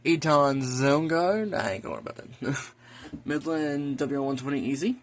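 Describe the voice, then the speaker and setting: A man's voice: a little high, animated, normal speed, slight background noise. An American man giving a casual show-and-tell vlog in a folksy, rambling way, muttering asides to himself as he goes. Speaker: hobbyist video host. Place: indoors in a room at home.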